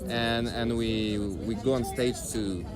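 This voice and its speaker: A man with a French accent, a touring jazz musician giving a casual interview, a bit tired from travel, talking haltingly and repeating words. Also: medium pitch, fairly even intonation, over music, a crowd in the background. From France. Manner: halting, repeats words, many "you know" fillers.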